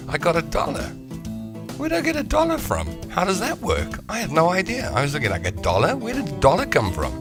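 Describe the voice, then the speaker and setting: A middle-aged man hosting a live show, chatting casually and self-mockingly as he reads out viewer comments. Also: mid-range voice. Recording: music playing behind the voice.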